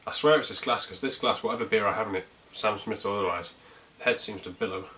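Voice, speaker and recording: A man's voice: on the low side, fast talker, pushed and bright, slight background noise. A man with a North Yorkshire accent chatting casually through a beer review, rambling a little with plenty of ums and restarts. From North Yorkshire, England.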